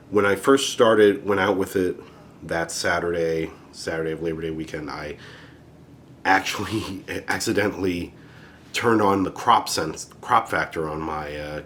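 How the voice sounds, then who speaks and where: A man's voice: sits low, slight background noise. A man with a cold, hosting his own photography channel and chatting loosely through his month, with fillers and restarts. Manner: relaxed, rambling, with frequent fillers and restarts. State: has a cold. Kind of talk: casual monthly photo recap.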